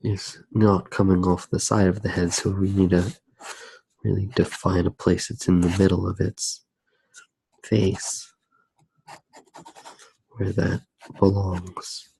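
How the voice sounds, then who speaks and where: A man softly narrating his drawing for ASMR, hushed, unhurried and musing as he works. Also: low-pitched, level tone, gravelly, slightly rough, low-quality recording.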